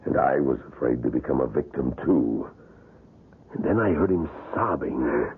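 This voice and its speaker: A grim wax museum guide in an old radio drama, unfolding events in a measured, ominous way. His voice is extremely deep, husky and gravelly. It sounds thin and phone-like, like a lo-fi broadcast. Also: expressive, full character voice. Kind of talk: 1970s radio drama acting.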